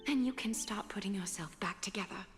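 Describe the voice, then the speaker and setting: A woman playing a serene, gracious noblewoman seamstress in a video game, speaking in measured, poetic, formal phrases with gentle earnestness. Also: medium pitch, natural voice. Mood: tender, earnest. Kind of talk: dramatic video game acting. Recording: long reverb, music behind.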